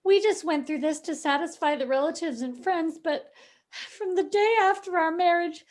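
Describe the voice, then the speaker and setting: Woman playing a high-voiced, fearful young bride. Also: normal speed, a little mellow. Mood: frightened, pleading. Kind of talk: radio play acting.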